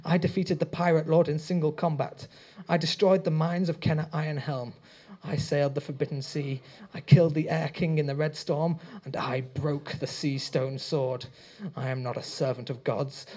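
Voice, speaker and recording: A man's voice: on the high side, fairly even intonation, normal speed, darkish tone, voice pushed up, natural voice. A man voicing a defiant, boastful hero, speaking raised and proud.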